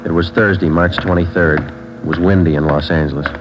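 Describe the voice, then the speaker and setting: An actor playing a terse, deadpan male police detective sergeant who also narrates, in a 1940s radio drama with an old, dull recording. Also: sits low, brisk, lightly acted. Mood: narrating. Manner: terse, deadpan, matter-of-fact.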